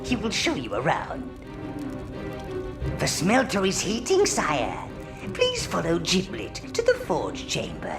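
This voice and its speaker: A wizened old goblin minion creaks out counsel to his evil master over game music. The delivery is theatrical and obsequious, swooping wildly in pitch and drawing words out slowly, with sardonic relish. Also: huge pitch swings, heavily acted. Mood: servile, instructive. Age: elderly. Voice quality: gravelly, creaky. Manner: obsequious, drawn-out, gleefully sinister. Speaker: advisor to an evil overlord. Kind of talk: theatrical game character dialogue.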